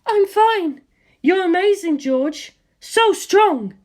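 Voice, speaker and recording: A reader voicing a cool, curt young woman warrior who speaks calmly in short lines in an audiobook. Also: extremely high pitch, slow, pitched above the performer's own voice, exaggerated voice. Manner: calm, clipped and terse. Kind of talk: audiobook character voice.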